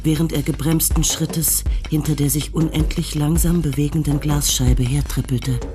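A man narrating a radio drama in a calm, literary storytelling manner. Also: a little high, normal speed, music in the background.

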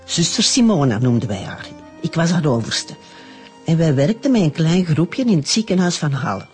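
An actress playing a very old, sharp and candid Flemish nun in a radio drama. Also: female voice, deep voice, very animated, moderate pace, soft background music. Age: older.

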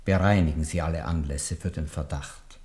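An older man in a radio drama playing a bowed old papal legate, formal and deliberate, humble on the surface but coldly warning. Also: very deep voice, steady tone, a little mellow. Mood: stern, accusing. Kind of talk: 1970s German radio drama acting.

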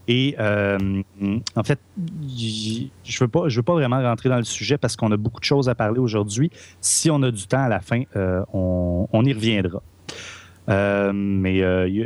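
A man's voice: lowish voice, darkish tone, no room sound. A man with a Québécois French accent hosting a casual podcast chat, hesitant, with many fillers and restarts. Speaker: podcast host.

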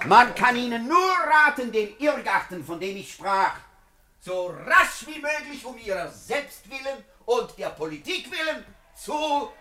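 High-pitched, scornful parliamentary orator. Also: male voice, sing-song, unhurried, pushed and bright, heavily acted. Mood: sardonic, lecturing. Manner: rhetorical, mocking, declamatory. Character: scornful, sardonic parliamentary orator attacking a minister. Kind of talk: 1950s German-language radio play acting.

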